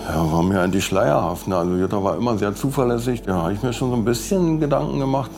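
A man's voice: lowish voice, fast, creaky. A man recalling events as an acquaintance in a documentary interview.

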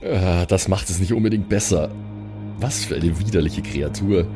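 A man's voice: low voice, normal speed. A man playing a tabletop adventure, talking in character with a hesitant, cautious manner. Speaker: role-playing game player. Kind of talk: improvised in-character chat. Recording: music behind the voice. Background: music.

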